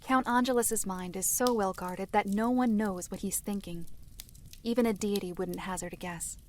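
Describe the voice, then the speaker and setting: A woman playing a solemn mystical seer who narrates a fantasy drama in a measured, formal way. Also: mid-range voice, fairly even intonation, a little mellow, slight background noise, natural voice. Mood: knowing. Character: solemn mystical female seer recounting past tragedies.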